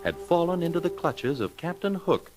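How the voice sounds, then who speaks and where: A man's voice: fairly high pitch, sing-song, moderate pace, music in the background, low-quality recording. A man narrating a children's adventure story in a dramatic scripted style.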